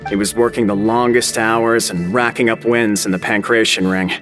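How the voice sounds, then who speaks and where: An actor playing a guarded, plain-spoken prison gang member in a video game. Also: male voice, on the low side, fairly even intonation, moderate pace, a bit bright, faint music behind, lightly acted. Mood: admiring. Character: guarded male prison gang member, tough, admiring follower.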